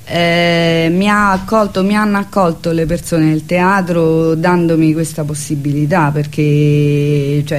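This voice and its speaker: A woman's voice: low-pitched, darkish tone. A woman, an artist guest chatting informally and explaining things in a loose, hesitant way. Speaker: visual artist, radio guest. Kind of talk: casual chat.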